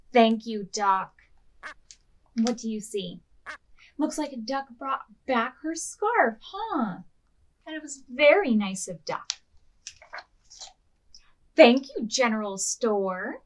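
Higher-pitched woman reading to preschoolers. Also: slow, long pauses, a little hiss or hum. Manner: expressive, with questions addressed to small children. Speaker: children's librarian hosting a virtual storytime. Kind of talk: read-aloud and instructions for preschoolers.

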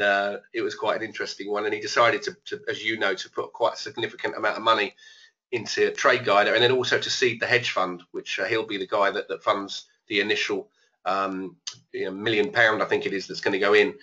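A man's voice: fairly low pitch, fairly even intonation, fairly quick, pushed and bright, highs cut off. A man who is losing his voice a bit, casually talking through live trades as the host of an online trading room. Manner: casual, thinking aloud. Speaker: trading educator.

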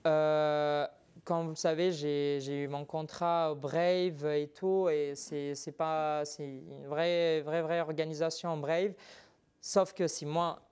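A man's voice: on the high side, fairly even intonation, takes it slowly, darkish tone, smooth. A young man, not a native French speaker, answering interview questions hesitantly with many fillers in a TV studio. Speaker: guest fighter.

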